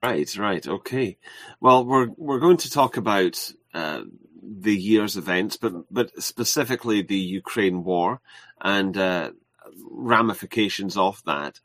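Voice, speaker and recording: A man hosting a livestream interview, talking in a halting way with many fillers and restarts. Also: on the low side, a bit bright, dry recording. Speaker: livestream host. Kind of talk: casual interview chat.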